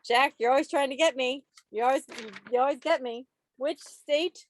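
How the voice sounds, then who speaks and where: A woman's voice: high-pitched, moderate pace, a little hiss or hum. A woman hosting a trivia quiz on a video call in a playful, teasing way, with mock-dramatic asides. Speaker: quiz host at a club meeting.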